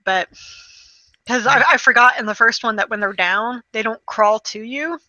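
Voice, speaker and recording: A woman chatting casually about video games, telling stories in an animated way with acted-out quotes and the odd swear word. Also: fairly high pitch, normal speed, no room sound, dull audio. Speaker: podcast host.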